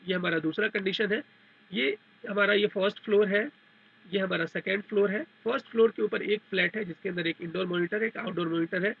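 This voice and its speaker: Guy doing a tech tutorial, kinda high husky voice, muffled lo-fi audio. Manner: repetitive, step-by-step explaining with English technical terms. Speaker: technical trainer. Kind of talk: explanatory tutorial over a diagram.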